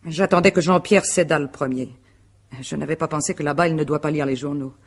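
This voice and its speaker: An actress playing a composed, proud married woman under interrogation in a radio mystery drama, speaking in a measured, formal way. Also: low voice, steady tone. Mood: composed, self-justifying.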